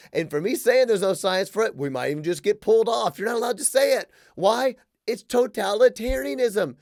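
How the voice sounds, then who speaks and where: A man in his thirties hosting a solo podcast, ranting in an animated, sarcastic way with quick jokes. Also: sits high, no echo, clean studio sound. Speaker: podcast host. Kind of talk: casual solo podcast rant. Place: small room with wood-plank wall.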